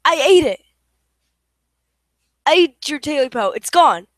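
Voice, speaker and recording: A frightened old mountain man hollering out from his cabin in rough, folksy speech, as a woman reading a storybook acts him out. The voice is pitched up and loud, with a dry, close sound. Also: animated, unhurried, no room sound.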